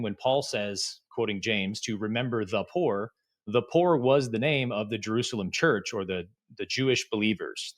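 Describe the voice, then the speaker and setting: A man reading out a prepared argument in a steady, formal way, played as a clip. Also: mid-range voice.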